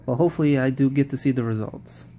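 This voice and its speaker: A man's voice: mid-range voice, quick pace, warm tone, slight background noise. A man giving casual, rambling post-game football commentary, often repeating himself and restarting sentences. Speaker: football vlogger.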